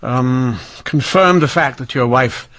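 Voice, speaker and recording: A man's voice: mid-range voice, takes it slowly, a bit bright, poor audio. A man acting an earnest, inquisitive Victorian police inspector in a radio mystery, musing and polite. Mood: gentle, careful. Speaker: radio drama actor.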